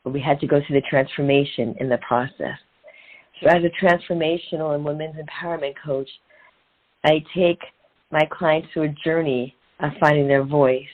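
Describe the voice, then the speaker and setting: A woman's voice: low-pitched, steady tone, moderate pace. A middle-aged woman speaking as an interview guest and coach in an earnest, inspirational way, repeating phrases for emphasis. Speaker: guest, transformational and women's empowerment coach. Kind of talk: conversational interview, motivational storytelling. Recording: narrow phone-like sound.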